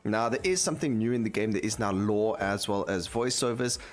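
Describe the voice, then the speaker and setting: A man's voice: lowish voice, brisk. A man giving casual gameplay commentary as a video creator, talking over game audio. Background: game music.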